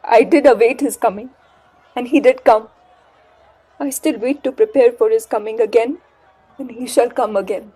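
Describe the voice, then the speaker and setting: A brave young heroine played by a woman with a high-pitched voice. She is devoted and fervent, delivered in the theatrical manner of an audio drama. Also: moderate pace, long pauses, dark tone, husky, a faint crowd, poor audio. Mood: reverent, hopeful. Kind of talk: theatrical stage-play acting in an audio drama.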